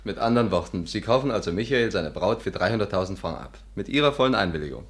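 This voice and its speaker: An actor in a 1950s German radio play playing a cynical, impatient man with a deadpan, sardonic manner. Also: on the low side, fast. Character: dry, cynical, impatient male companion.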